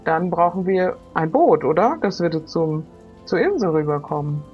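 A woman plays a practical pirate woman steering her crew with casual teasing. Her voice is on the low side, recorded a little dully with music underneath. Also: animated, moderate pace, dull audio, close to an everyday voice. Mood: practical, uncertain. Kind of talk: improvised pirate adventure role-play.

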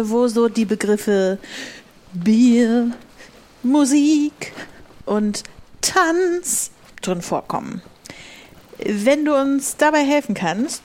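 Woman playing a slow, commanding pirate captain. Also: on the high side, a little mellow. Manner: stately, formal, challenging. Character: commanding female pirate captain, challenging, grand. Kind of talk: improvised audio adventure role-play.

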